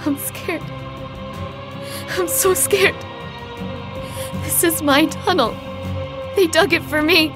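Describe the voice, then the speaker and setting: A woman plays a haunted young woman in a horror manga dub. Her voice is high and slow, trembling with dread and hesitation. Loud music plays behind her. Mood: fearful. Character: frightened young woman obsessed with her tunnel.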